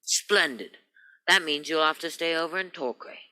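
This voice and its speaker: Forceful, hearty young chap played by a woman. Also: sits low, moderate pace, dry recording, rough recording. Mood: hearty, jovial. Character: hearty, sociable upper-class young man, old school friend. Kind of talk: radio play acting.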